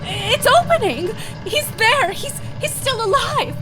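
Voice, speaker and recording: Woman playing a very high-voiced, anguished lady. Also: expressive, slow pace, over music, exaggerated voice, rough recording. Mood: terrified, bewildered. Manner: melodramatic, pleading. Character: anguished, frightened wealthy married woman. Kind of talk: old-time radio drama acting.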